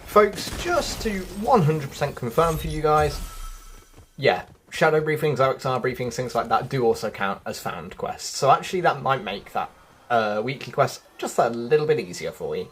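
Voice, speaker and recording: A man's voice: medium pitch, animated, moderate pace, a little hiss or hum. A man giving casual, chatty gaming commentary, addressing his viewers directly as a video host. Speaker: video game news YouTuber. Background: background music.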